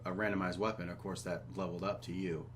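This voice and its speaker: A man's voice: sits low, level tone, fast talker, a little hiss or hum. A man chatting casually about games as a podcast co-host, offhand and profane. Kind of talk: casual gaming chat.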